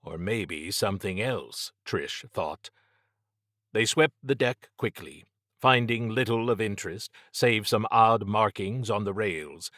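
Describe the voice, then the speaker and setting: A man reads scripted fiction as an audiobook narrator. His voice is fairly low and the telling is dramatic. The recording is dry, with very little room sound. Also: slow, fairly bright tone, dry recording.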